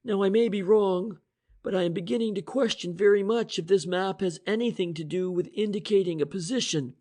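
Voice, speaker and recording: A man voicing a brisk, excitable male police inspector who talks in an eager, emphatic and commanding way. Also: high-pitched, pitched above the performer's own voice, close to an everyday voice. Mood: explaining.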